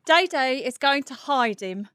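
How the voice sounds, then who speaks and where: A theatrical female singer in a wartime radio play. Her high voice swoops up and down in a dry, close recording. She is bossy and bawdy, mixing swearing with gushing endearments. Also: expressive, a little slow, a bit bright, clean tone, no room sound. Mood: bossy, urgent. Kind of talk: audio drama acting.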